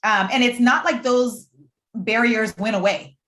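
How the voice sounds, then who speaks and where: A woman from Puerto Rico with a Puerto Rican accent, Spanish her first language, speaking as a panelist in a lively way and acting out quoted exchanges. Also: a little high, measured pace. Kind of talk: casual panel discussion. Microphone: video call. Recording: echoey room audio.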